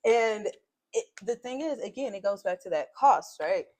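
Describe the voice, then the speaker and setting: A woman's voice: medium pitch, animated, moderate pace, a little mellow. A woman speaking in a strong voice, making her points assertively in a podcast conversation. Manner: assertive, emphatic, builds points in lists.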